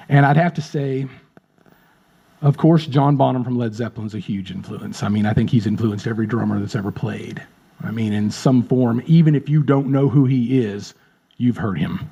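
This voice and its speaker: A man's voice: mid-range voice, warm tone, slightly rough, lo-fi sound. A drummer in his late fifties, chatting as a guest in a casual interview, friendly and rambling, often repeating words. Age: 50s.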